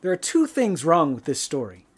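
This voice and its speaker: A man acting as a calm, shrewd investigator in a radio mystery drama, questioning and explaining in a measured way. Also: fairly high pitch, breathy edge, full character voice. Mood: confident, explaining. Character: calm, shrewd male investigator who closes in on culprits.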